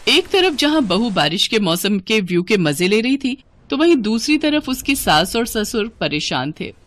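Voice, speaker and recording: Expressive woman story narrator. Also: fairly high pitch, moderate pace, dry recording. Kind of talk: scripted cartoon story narration.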